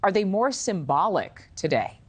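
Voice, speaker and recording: A woman's voice: medium pitch, big pitch swings, moderate pace, husky. An American woman anchoring television news, putting questions to a guest in a live interview.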